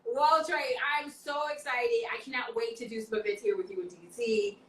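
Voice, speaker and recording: A woman's voice: a little high, steady tone, moderate pace, a bit bright, roomy sound, distant mic, slight background noise, low-quality recording. A woman hosting a podcast in casual chat, playful and a little tipsy from wine, slightly slurring. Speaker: podcast host.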